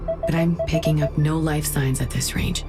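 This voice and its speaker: An actress playing a solemn female starship navigator in a science fiction game, speaking in a measured, precise, intent way. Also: sits low, fairly even intonation, close to an everyday voice. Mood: focused, tense. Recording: loud music behind the voice. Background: loud ominous game score.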